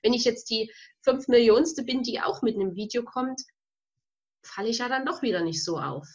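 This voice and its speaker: A woman's voice: a little high, lively intonation, moderate pace, darkish tone. Woman host with a cold, lo-fi sound. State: has a cold. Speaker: podcast host. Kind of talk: interview podcast hosting. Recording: dull lo-fi sound.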